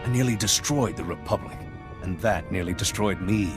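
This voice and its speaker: An actor playing a grave, haunted Jedi zealot in a video game, speaking with solemn, deliberate intensity. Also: male voice, lowish voice, music in the background, lightly acted. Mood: somber. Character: fallen, redeemed male Jedi, grave, haunted, resolute zealot. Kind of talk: dramatic video game acting.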